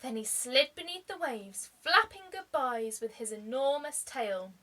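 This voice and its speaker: A woman reading a children's story aloud like a teacher, expressively, with deliberate dramatic emphasis. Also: high-pitched, expressive, sharp tone.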